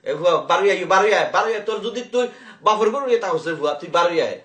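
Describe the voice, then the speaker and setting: Sylheti guy ranting on an echoey phone call, really rapid, indignant, keeps repeating himself. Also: sits high, animated, fairly bright tone. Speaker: prank call participant, speaking as an angry father. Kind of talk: heated phone argument.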